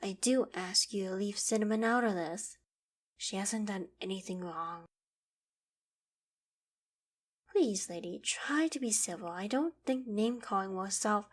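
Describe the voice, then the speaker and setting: Woman acting a breathy, gentle young queen. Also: mid-range voice, moderate pace, natural voice. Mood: strained politeness. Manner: soft, breathy, dropping into whispered asides. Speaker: voice actor in audio roleplay. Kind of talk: scripted ASMR roleplay acting.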